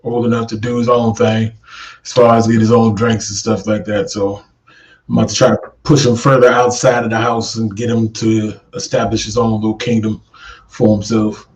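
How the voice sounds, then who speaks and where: A man's voice: on the low side, flat delivery, moderate pace, poor audio. Blunt, teasing man in his forties. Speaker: podcast co-host. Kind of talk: casual chat.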